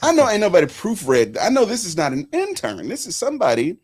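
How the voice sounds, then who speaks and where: A man of forty hosting a casual political chat, telling stories animatedly, swinging between loud and hushed. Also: high voice, huge pitch swings, fast talker, breathy edge. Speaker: podcast host.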